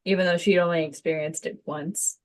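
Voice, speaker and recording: A woman's voice: on the low side, fairly even intonation, brisk, low-quality recording. A woman chatting casually as a student in a voice acting lesson. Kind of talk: casual chat.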